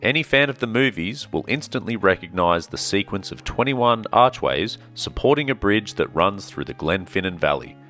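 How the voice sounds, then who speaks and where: A man narrating his travel vlog in a calm, measured, scripted way. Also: lowish voice, soft background music. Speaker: travel vlogger.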